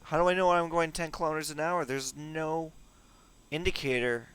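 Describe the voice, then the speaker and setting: A man playing a flight simulator at home, reading instructions back to himself in a halting, self-joking way. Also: on the high side, fairly bright tone, clean tone. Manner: repeats instructions aloud slowly, self-joking. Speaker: gamer learning a flight sim. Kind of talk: casual gameplay commentary.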